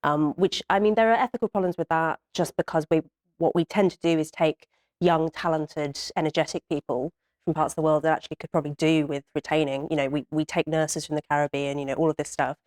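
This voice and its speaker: A woman's voice: sits low, fairly even intonation, fast talker, a little mellow. A woman speaking on a panel, casual and chatty. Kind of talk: panel discussion.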